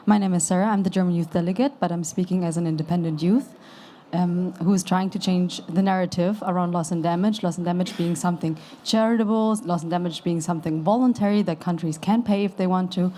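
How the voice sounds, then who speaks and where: Earnest young woman delegate, mellow voice. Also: lowish voice, steady tone, a little fast, slight background noise. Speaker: youth delegate. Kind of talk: audience question. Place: conference pavilion.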